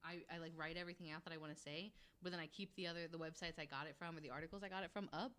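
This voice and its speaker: A man's voice: on the high side, steady tone, rapid-fire, sharp tone. An American man co-hosting a podcast, chatting casually as he reacts and asks questions. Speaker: podcast co-host.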